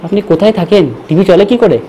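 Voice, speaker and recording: A man with a Bengali accent and a strikingly high voice. He talks quickly and presses his point insistently, improvising as a prank caller. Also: a crowd in the background. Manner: pushy, insistent. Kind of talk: improvised prank phone call.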